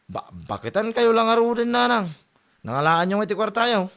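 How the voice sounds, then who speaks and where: A man's voice: sits high, big pitch swings, normal speed, vocal fry, a bit bright, exaggerated voice. A man playing a nagging, teasing young son in a comedy drama, exasperated. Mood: teasing surprise. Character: nagging, teasing young Ilocano son scolding his mother. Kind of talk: Filipino comedy audio drama.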